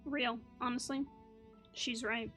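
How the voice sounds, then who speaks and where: A woman's voice: fairly high pitch, fairly even intonation, takes it slowly, pushed and bright. A woman giving casual, slangy reaction commentary on a video game, playfully talking back to it. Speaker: gaming video creator. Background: video game music.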